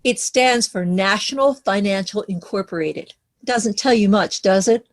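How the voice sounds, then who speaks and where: A woman's voice: mid-range voice, unhurried, lightly acted. Woman acting a matter-of-fact stage character. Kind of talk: scripted musical table read.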